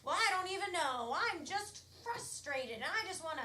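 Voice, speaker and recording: A woman improv performer on stage, speaking in hesitant, drawn-out phrases as she makes up a scene. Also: very high-pitched, expressive, pushed and bright. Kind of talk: improvised comedy. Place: theatre stage. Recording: echoey stage, faint noise.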